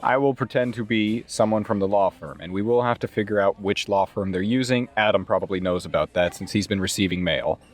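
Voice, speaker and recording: A man with a slightly low voice plays a tabletop game. He explains plans methodically and casually, slipping in and out of character. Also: a little fast, hardly any pauses. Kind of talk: casual in-game planning and roleplay.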